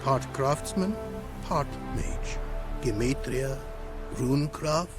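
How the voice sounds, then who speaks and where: An actor playing a courteous, proud scholar-artisan in a video game, speaking in formal, inverted, archaic phrasing. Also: male voice, mid-range voice, loud music behind the voice, lightly acted. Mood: proud, explanatory. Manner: formal, inverted archaic syntax, deliberate emphasis. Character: courteous, proud foreign male rune craftsman, melancholy scholar. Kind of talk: video game cutscene acting.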